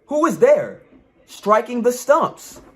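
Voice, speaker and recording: A man's voice: very high voice, very animated, slow pace, pitched above the performer's own voice, exaggerated voice. A man voicing a mischievous, cheerful bush fairy from a folktale, calling out eagerly in ringing tones. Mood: challenging.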